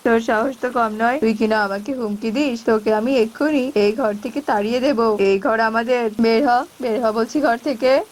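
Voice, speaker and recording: A woman's voice: on the high side, steady tone, normal speed, hardly any pauses, close to an everyday voice. A woman voicing a selfish, cunning male bird in a children's cartoon, by turns scolding and wheedling, on a noisy track. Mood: threatening. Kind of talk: Bengali children's cartoon dubbing.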